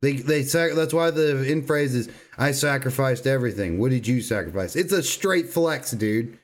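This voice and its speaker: A man's voice: medium pitch, expressive, moderate pace. A man co-hosting a casual gaming podcast, speaking in an emphatic, slangy, informal way. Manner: emphatic, informal, slangy, says "dude" often. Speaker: podcast co-host. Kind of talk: casual banter about video games.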